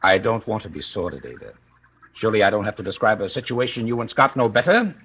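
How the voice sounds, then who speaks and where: An actor playing a suspicious, wealthy husband in vintage radio drama, ironically polite, hesitant and insinuating. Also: male voice, lowish voice, expressive, fast talker, fairly bright tone, husky. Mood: sardonic. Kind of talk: 1940s-50s radio drama acting. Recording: noisy old radio recording.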